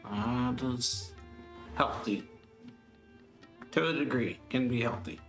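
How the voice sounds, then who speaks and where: Slow, gravelly man doing game commentary. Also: mid-range voice, a bit bright. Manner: muttering short reactions, thinking aloud. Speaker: let's play host. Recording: game music behind the voice.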